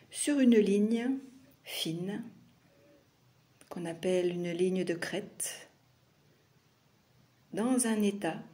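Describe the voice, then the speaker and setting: A woman leads a guided meditation as a yoga nidra teacher. Her voice is mellow and relaxed, at a middling pitch. She speaks very slowly, in short soothing phrases separated by long pauses.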